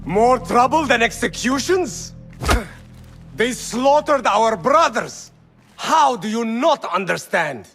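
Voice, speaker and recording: An actor playing a defiant, hardened rebel leader in a video game, with a blunt, commanding, formal delivery. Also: male voice, very high-pitched, expressive, takes it slowly, creaky, fairly bright tone, faint music behind, full character voice. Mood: outraged. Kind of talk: dramatic video game cutscene.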